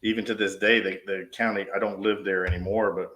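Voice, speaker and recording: A man's voice: sits low, level tone, brisk, bright, forceful tone. A man in his forties, a dispatch supervisor, telling stories in a casual, rambling interview style. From US East Coast. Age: 40s. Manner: rambling with frequent restarts. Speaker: podcast guest, dispatch shift supervisor.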